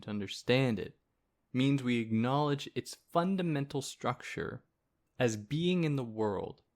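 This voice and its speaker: Soothing-voiced man explaining philosophy. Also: medium pitch. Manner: relaxed, conversational, with fillers and asides. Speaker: podcast host. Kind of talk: casual explanatory philosophy lecture.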